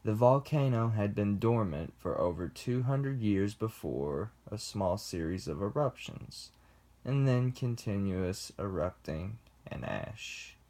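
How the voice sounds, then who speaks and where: A young man giving a class presentation, reading slowly and deliberately with pauses. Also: lowish voice, expressive, dark tone. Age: young adult. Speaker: student presenter. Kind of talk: class presentation read from script.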